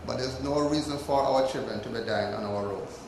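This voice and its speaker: Jamaican guy reading out a formal speech, slow and emphatic, echoey hall, bit of room hum. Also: medium pitch. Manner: measured, emphatic oratory. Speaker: ceremony speaker. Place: hotel function room. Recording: echoey room with faint ambience.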